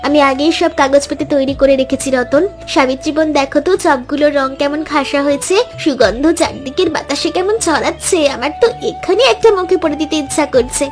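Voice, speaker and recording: A woman's voice: high voice, a little fast, few pauses, faint music behind, close to an everyday voice. A woman voicing an eager, hardworking village woman and loyal friend in a Bengali cartoon story, speaking earnestly. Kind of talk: Bengali animated moral story dialogue.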